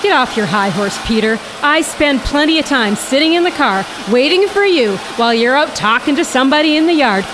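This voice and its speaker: A woman acting in a radio drama as an exasperated, sharp-tongued wife scolding her husband in a car. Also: high-pitched, lively intonation, a little fast, few pauses. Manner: scolding, sarcastic. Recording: clear car engine and road noise.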